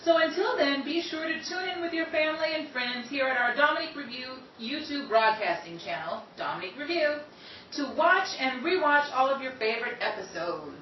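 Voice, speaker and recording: A woman narrating a radio mystery and then signing off as the show's host, with a slow, measured and dramatic delivery. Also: high-pitched, lively intonation. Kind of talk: scripted radio narration and sign-off. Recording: roomy, distant, dull recording with hiss.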